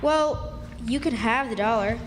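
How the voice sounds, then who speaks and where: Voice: mid-range voice, unhurried, close to an everyday voice. A performer playing a timid but stubborn young country boy, plaintive and folksy, in live radio drama style. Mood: pleading defiance. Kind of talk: live old-time radio drama acting. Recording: echoey hall, live stage, hissy background.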